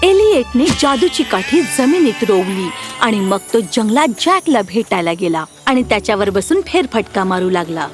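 A woman's voice: medium pitch, sing-song, normal speed, few pauses, soft background music. A woman narrating a scripted fairy tale as a storyteller.

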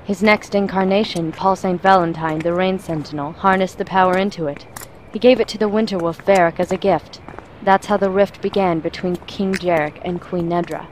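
A woman playing a composed, wry assassin, explaining things in a measured way in an audio drama. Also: on the low side, steady tone, slight background noise, close to an everyday voice, poor audio.

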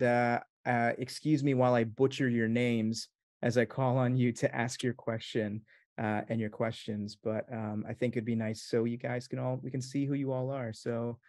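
A man's voice: medium pitch, dry recording. Easygoing male host on a dry video call. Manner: friendly, easygoing. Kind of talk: casual video-call interview. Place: home kitchen.

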